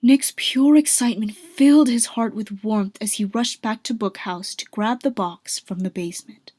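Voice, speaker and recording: A woman's voice: fairly high pitch, normal speed, darkish tone, dry recording, clean studio sound. A woman giving scripted storytelling narration for a radio drama.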